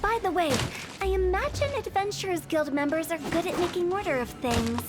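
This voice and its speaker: A very high young woman's voice, plays a quirky funeral parlor director in a video game. She sounds theatrical and teasing yet solemn, treating death as routine, with music clearly behind her. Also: a little fast. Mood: breezy, guiding. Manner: theatrical, teasing, matter-of-fact about death. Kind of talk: video game character voice acting.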